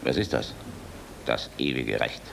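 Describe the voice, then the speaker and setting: Deep-voiced, weary, resolute general. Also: male voice, lively intonation, unhurried, creaky, a bit bright, a little hiss or hum, full character voice. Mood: grave, probing. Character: weary, resolute air force general, commanding and fatherly. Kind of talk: German radio drama acting.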